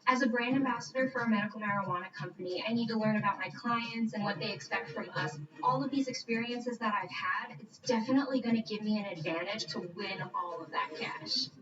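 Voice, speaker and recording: A woman's voice: mid-range voice, brisk, over a noisy crowd. Woman, 20s, from Philadelphia, contestant, far-off dull sound. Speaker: game show contestant. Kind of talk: scripted contestant intro. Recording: distant, muffled, roomy TV audio with music.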